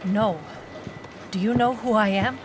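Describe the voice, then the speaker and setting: An actress playing a terse, suspicious female private eye with no memory, deadpan in a noir audio drama. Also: medium pitch, measured pace, noisy background, close to an everyday voice. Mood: guarded. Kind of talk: noir detective audio drama, narration and dialogue. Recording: music playing behind the voice. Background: music.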